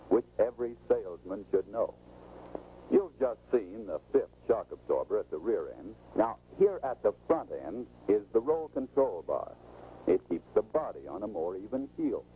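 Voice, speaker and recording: A man's voice: fairly low pitch, dark tone, slight background noise, low-quality recording. A middle-aged American man giving scripted, instructive, salesmanlike narration in the style of a 1940s training film, on an old film soundtrack. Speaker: automotive expert presenting a sales training film.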